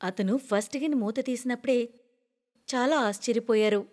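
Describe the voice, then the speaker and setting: A woman with a fairly high voice narrates a scripted moral tale. She, and her voice carries a long reverb. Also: normal speed, darkish tone. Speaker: story narrator. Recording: long reverberant hall echo.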